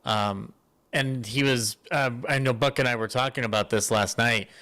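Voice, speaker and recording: Man, bright forceful voice, medium pitch, casual panelist, hesitant phrasing. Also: steady tone, normal speed. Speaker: panelist introducing a discussion.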